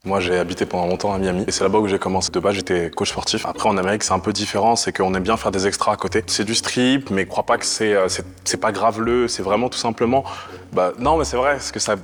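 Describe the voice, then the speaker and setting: A man's voice: lowish voice, steady tone, fast. A man in his thirties, a guest who talks with stumbling restarts. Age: 30s.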